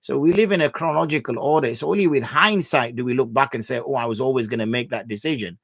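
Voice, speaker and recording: Measured male Islamic scholar, dry sound. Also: mid-range voice, vocal fry, a bit bright, no echo. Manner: measured, patient, explains with everyday examples. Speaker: Islamic scholar answering viewer questions. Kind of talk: religious Q&A explanation.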